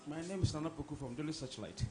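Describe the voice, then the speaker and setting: A journalist asks a question from the audience in a slightly high voice. He stumbles and restarts over words. A faint hiss runs behind him. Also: quick pace.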